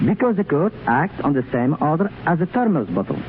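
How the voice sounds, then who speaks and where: A man's voice: a little high, sing-song, a little fast. A man speaking as an interview guest on 1930s radio, earnest, declarative and slightly stilted. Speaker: former French Foreign Legionnaire, radio guest. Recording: scratchy, tinny 1937 radio broadcast.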